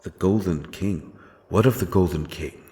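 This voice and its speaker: A grim, doomed dark fantasy avenger, acted by a man with a very low voice. He speaks slowly and solemnly, brooding over his words and leaving heavy pauses, as in a dramatic audio roleplay. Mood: tense, demanding. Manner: brooding, solemn, halting delivery with weighty pauses.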